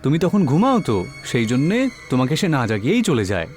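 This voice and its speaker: A man voicing the indulgent father of a young girl in an animated story. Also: medium pitch, brisk, faint music behind. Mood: indulgent, reassuring.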